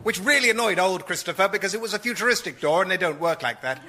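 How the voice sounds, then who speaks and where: A man's voice: high-pitched, expressive, fast talker, noisy background. A British man playing a genial children's storybook narrator in a radio comedy, telling the tale with mock earnestness. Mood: mock-earnest. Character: genial storybook narrator reading to boys and girls.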